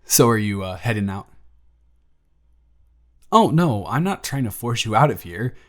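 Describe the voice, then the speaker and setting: A young man playing a grateful single father who talks softly and teases gently in a romantic audio story. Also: fairly low pitch, sing-song, measured pace. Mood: tender, playful. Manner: soft-spoken, gently teasing. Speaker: audio drama performer.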